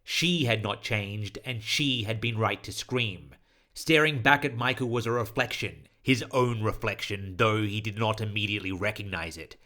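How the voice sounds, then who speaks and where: Bright, forceful male horror narrator. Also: lowish voice. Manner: solemn, deliberate, literary storytelling. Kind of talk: scripted dramatic audio story narration.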